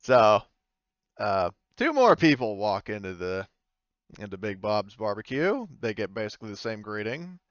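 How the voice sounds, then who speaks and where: A man narrating casually and haltingly as game master, improvising in an informal, easygoing way. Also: fairly low pitch, sing-song, smooth, no room sound, lo-fi sound.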